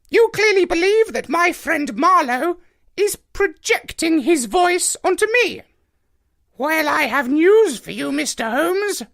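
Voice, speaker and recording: A man's voice: very high-pitched, gravelly, pushed and bright, pitched above the performer's own voice, full character voice. A man voicing a vain, pompous talking male parrot in a storybook reading, speaking with lofty condescension. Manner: lofty, condescending, drawn-out declarations.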